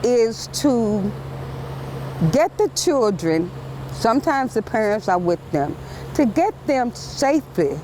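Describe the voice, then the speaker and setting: A woman crossing guard speaking plainly and emphatically in short, deliberate phrases outdoors by a street. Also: a little high, very animated, dark tone, poor audio. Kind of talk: plain-spoken interview answers. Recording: clear outdoor traffic noise.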